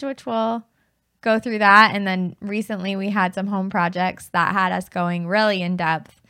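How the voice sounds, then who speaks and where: Woman, losing her voice, gravelly, dry close sound, casual podcast chat. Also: medium pitch, a bit bright, dry recording. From Florida. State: losing her voice, deeper than usual. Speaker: podcast co-host.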